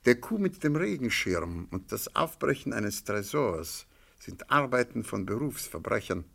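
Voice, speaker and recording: An actor playing a composed, knowing man in a crime radio play, measured and dry with sly superiority. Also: mid-range voice, sing-song, gravelly, fairly bright tone, breathy edge, heavily acted. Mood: reasoning. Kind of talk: German crime radio drama acting.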